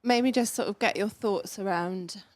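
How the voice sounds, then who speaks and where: A woman's voice: mid-range voice, measured pace, a little mellow, no room sound, clean studio sound. A woman in an audience asking a casual, tentative question, searching for her words. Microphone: handheld audience microphone.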